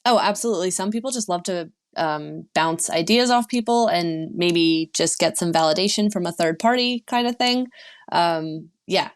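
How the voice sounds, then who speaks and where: A woman guest, a sex and relationship coach, answering interview questions in a relaxed, chatty way with fillers. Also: on the low side, moderate pace.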